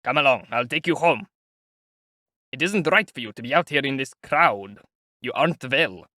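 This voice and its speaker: Man doing a civil servant, middle-aged man, mild, officious, low-key. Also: animated, moderate pace, bright, forceful tone, dry recording, clean studio sound. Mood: soothing. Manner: soothing, then low muttering.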